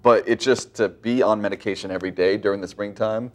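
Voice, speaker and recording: A man's voice: on the low side, steady tone, fairly quick. A man in his thirties co-anchoring a morning news show, easygoing and chatty, with fillers when ad-libbing. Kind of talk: casual morning news chat.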